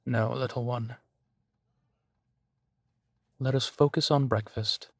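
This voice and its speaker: Man playing a slow-talking, genteel old vampire duke. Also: mid-range voice, steady tone, gravelly, no echo, studio quality. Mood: indulgent. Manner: unhurried, theatrical, savoring pauses with soft chuckles. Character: centuries-old male vampire duke, genteel, amused, doting host. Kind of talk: intimate roleplay audio drama.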